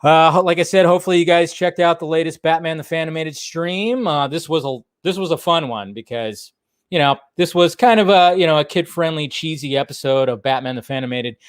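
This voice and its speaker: A man's voice: on the high side, brisk, no echo, clean studio sound. A man hosting a live stream, chatting casually and loosely to his viewers and often repeating his phrases. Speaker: host of a live pop-culture talk stream. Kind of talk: casual rambling livestream chat.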